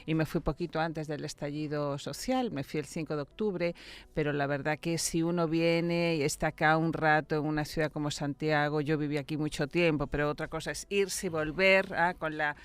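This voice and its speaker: A woman from Venezuela answers a radio interviewer's questions in a thoughtful, measured way, often repeating a word as she gathers her point. Her voice is smooth and resonant and she sounds close to the studio microphone. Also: deep voice, flat delivery. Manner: reflective, measured, with repeated words. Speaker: political scientist guest.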